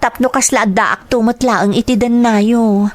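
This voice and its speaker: A woman's voice: a little high, steady tone, normal speed, lightly acted. A woman with a Filipino accent playing a dutiful, earnest grown daughter in a radio drama. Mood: reassuring. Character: dutiful, grieving grown daughter telling her story. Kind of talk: radio drama acting, slow narration.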